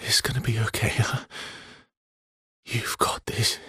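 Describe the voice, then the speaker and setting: An actor playing a weary, gentle, guilt-ridden expedition guide in a dramatic video game, with a husky, breathy, hushed and halting delivery. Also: male voice, lowish voice, flat delivery, no echo, clean studio sound, heavily acted. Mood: tired, tender. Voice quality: husky, breathy, often near a whisper.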